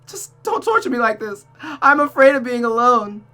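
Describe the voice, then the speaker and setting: Visual novel role: unhinged young murderess, shrill and bright, dramatic, pleading, emotionally raw over music. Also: female voice, sing-song, normal speed. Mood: desperate pleading. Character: Rosa, spiteful, unhinged, abandoned young woman killer.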